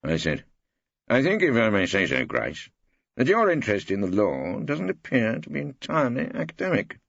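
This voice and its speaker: Irreverent older English male barrister, man acting, droll audiobook narration, raspy, close dry slightly dull recording. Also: mid-range voice, wildly swooping pitch, moderate pace, fairly bright tone, no echo, voice pushed up, exaggerated voice. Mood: dry, innocent. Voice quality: gravelly. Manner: wry, droll. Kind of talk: first-person audiobook narration with dialogue.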